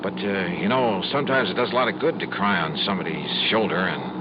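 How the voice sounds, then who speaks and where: A man's voice: sits low, expressive, heavy background noise. An actor playing a wry, hard-boiled male investigator narrating his own case in a 1950s radio drama. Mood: smooth-talking. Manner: wry, clipped, fast-talking, hesitant when flirting. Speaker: narrator and lead. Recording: dull lo-fi old radio recording with music.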